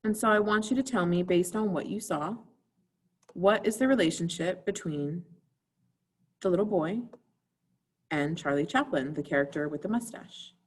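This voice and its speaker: A woman's voice: lowish voice, normal speed, pauses often, husky. A woman theater teacher giving an informal recorded video lesson, instructing students directly and putting questions to them.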